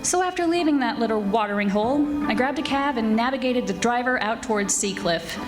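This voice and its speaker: High-voiced, sassy actress as a radio lady detective. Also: expressive, music in the background, lightly acted. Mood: wry narrating. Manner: sassy, quick-witted. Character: brash, wisecracking young female private detective, self-assured. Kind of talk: 1940s radio detective acting, dialogue and narration. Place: live stage performance of a radio play.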